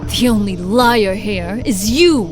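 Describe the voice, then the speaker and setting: Audio drama heroine: grave, firm, mid-pitched supernatural investigator. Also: female voice, animated, takes it slowly, over music. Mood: grave, defiant.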